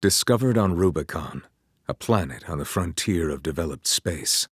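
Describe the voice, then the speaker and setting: A man playing a solemn, ominous narrator of a war chronicle in a video game, speaking in a grave, measured, portentous way with a husky voice. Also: low-pitched, lively intonation, no room sound, studio quality. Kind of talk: scripted dramatic story narration.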